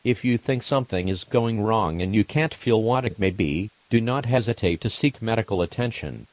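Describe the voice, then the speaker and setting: A man delivering a scripted emergency alert as an announcer, in a formal, measured and impersonal way. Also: on the low side, a little mellow, no echo.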